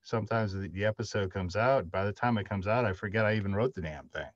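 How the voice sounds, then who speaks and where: A middle-aged man speaking haltingly and off the cuff as a panelist on a video call. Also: lowish voice. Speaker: panelist, audio drama writer. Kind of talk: unscripted panel discussion. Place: indoor room, video call. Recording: roomy, muffled video call audio.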